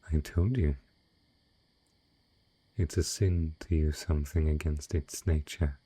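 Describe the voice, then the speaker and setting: A man playing a wealthy, possessive dragon in human guise, speaking in slow, formal, seductive phrases for an intimate romantic audio roleplay. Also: very deep voice, mellow, husky, no echo, exaggerated voice.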